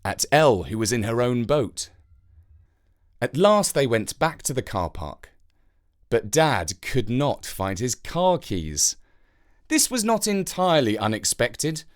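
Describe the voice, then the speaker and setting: A narrator reading a scripted children's story with expressive, deliberate phrasing. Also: medium pitch.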